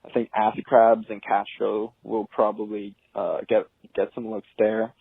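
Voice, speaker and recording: Husky man on a muffled voicemail. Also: lowish voice, monotone, unhurried, no echo. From United States. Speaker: voicemail caller, sports fan. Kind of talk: casual voicemail message. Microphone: phone call. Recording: dull, lo-fi phone voicemail.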